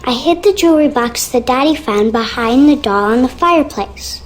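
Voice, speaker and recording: A woman's voice: sits high, animated, moderate pace, a little hiss or hum. A woman voicing a frightened, earnest young girl in a video game, childlike and confiding. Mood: timid, confiding. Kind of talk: video game character dialogue.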